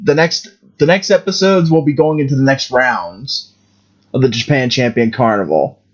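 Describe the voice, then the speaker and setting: A man's voice: medium pitch, normal speed, lo-fi sound. A man hosting a casual podcast, talking in a rambling way with many restarts. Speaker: podcast host. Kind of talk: casual chat.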